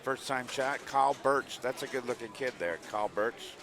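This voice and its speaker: A man's voice: mid-range voice, normal speed. A middle-aged man from Long Island, hosting a live stream in a chatty, upbeat way, reading chat messages and ads. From Long Island, New York. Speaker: stream host. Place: tournament hall. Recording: noisy crowd in the background.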